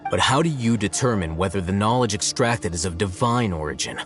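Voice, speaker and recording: A man voicing a calm, analytical scholar who lectures in a measured way in a video game. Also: fairly low pitch, music in the background, lightly acted.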